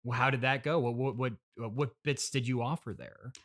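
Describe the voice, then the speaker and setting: A man hosting an improvised comedy interview in casual chat, speaking in a halting, politely reluctant way. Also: medium pitch, steady tone, fairly bright tone. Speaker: podcast host.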